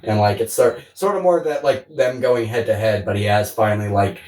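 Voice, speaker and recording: A man's voice: lowish voice, a little fast, a little mellow. A man co-hosting a casual film discussion podcast, talking in a rambling, profane way. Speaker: podcast co-host. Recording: roomy, echoey, distant mic.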